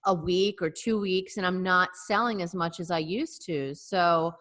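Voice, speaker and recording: A chatty woman co-hosts a podcast over a video call and laughs easily. Her voice is bright and forward. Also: on the low side, a little slow. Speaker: podcast co-host and café owner. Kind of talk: casual video-call chat.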